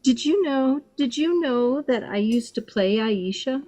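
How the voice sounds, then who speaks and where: A woman calling into a live podcast and chatting playfully and excitedly. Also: a little high, a little slow. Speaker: caller. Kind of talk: casual call-in chat.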